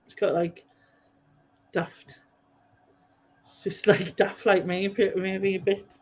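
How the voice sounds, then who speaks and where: A woman's voice: medium pitch, little pitch movement, takes it slowly, darkish tone, dull audio. A woman with a North East English accent, hosting a casual chat podcast and rambling in a chatty way with many fillers. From North East England. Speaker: podcast host.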